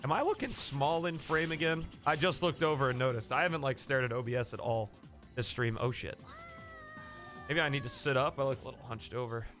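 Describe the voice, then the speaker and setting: A man's voice: medium pitch, fairly quick, a bit bright, soft background music. Sniffly man casually streaming a game. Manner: casual, chatty, thanks viewers by name. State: sniffly with a coming cold. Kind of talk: live game commentary.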